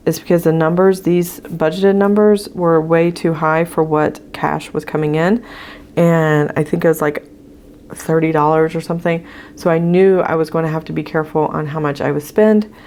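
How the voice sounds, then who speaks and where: A woman with a low, gravelly voice that is hoarse. She chats casually through her budget sheets as a channel host and fills her pauses with ums while she writes. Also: a little mellow, a little hiss or hum. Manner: chatty with frequent ums, talking while writing.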